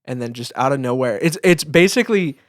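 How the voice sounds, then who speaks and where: A man's voice: mid-range voice, animated, fairly quick, no room sound. The co-host on a friends' podcast, a man with a mid-range voice rambling informally through anecdotes in a dry studio sound. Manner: informal, slangy, peppered with "like". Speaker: photographer. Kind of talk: casual anecdotal chat.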